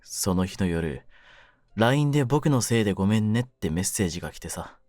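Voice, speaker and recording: A man playing a cynical, wounded young mafia kidnapper in an audio drama, with a low voice and rough, brooding delivery. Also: lively intonation, fairly quick, a little mellow, no room sound, studio quality, soft background music, close to an everyday voice. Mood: grieving, bitter. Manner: rough masculine slang, taunting, brooding delivery. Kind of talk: Japanese situation-voice audio drama, ASMR.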